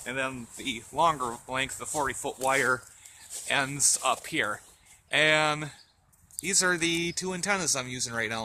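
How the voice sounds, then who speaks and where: A man giving a casual, unscripted show-and-tell as a vlogger outdoors, speaking in a halting way as he thinks aloud. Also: medium pitch, sharp tone. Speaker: hobbyist vlogger. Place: outdoors in a yard in winter. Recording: outdoor camera audio with faint background noise.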